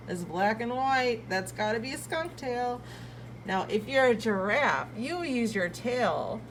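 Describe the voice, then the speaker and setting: A woman reading aloud to young children like a preschool teacher, expressive and playful, drawing out key words and adding asides and questions. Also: on the high side, a little hiss or hum, low-quality recording.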